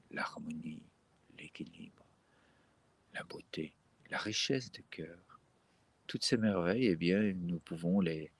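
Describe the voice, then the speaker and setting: Deep, gravelly man guiding breathing. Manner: gentle, unhurried, with frequent fillers and restarts. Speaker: breathing meditation guide. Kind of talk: live-streamed guided breathing session.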